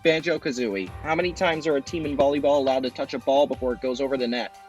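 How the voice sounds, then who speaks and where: Man as game show host, brisk announcer delivery, faint music underneath. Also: mid-range voice.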